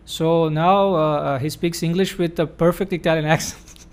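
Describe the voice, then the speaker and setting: A man with a slightly raised pitch hosts a relaxed academic conversation. He explains things at length and thinks aloud with frequent fillers, in the manner of a supervisor guiding students. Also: moderate pace, clean tone.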